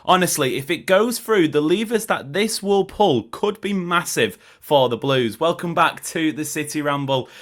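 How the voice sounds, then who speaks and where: A man hosting a football podcast, his voice croaky, chatting loosely and correcting himself as he goes. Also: on the high side, animated, normal speed, hardly any pauses. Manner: chatty, rambling with self-corrections. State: croaky throat. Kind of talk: casual solo commentary.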